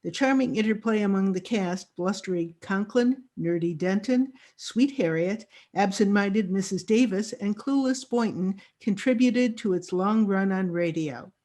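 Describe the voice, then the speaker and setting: A woman's voice: mid-range voice, measured pace, few pauses, dark tone. Woman announcer reading a scripted introduction. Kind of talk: scripted narration. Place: recorded remotely on a video call.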